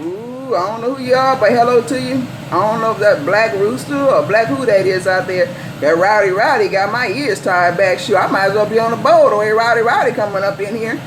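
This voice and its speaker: Over clear background noise comes an American woman on CB radio. She banters in folksy slang, calling out handles again and again and signing off with flourish. Her pitch sits in the middle range for a woman. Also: fairly quick, hardly any pauses. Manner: folksy, repeats calls and handles. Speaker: CB radio operator. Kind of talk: CB radio banter full of slang and sign-offs. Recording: noisy live CB radio setup.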